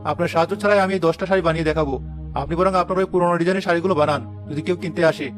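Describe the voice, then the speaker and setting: A man's voice: high-pitched, fairly even intonation, rapid-fire, faint music behind, natural voice. A man playing a proud, hot-headed young village weaver in a dramatic moral-story cartoon. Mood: arrogant.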